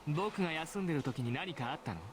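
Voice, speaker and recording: Male actor as smug teen schoolboy, visual novel, sneering, very animated pitch, fast, theatrical. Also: noisy background, lightly acted. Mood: smug mockery. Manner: mocking, flippant, theatrical. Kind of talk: Japanese visual novel voice acting.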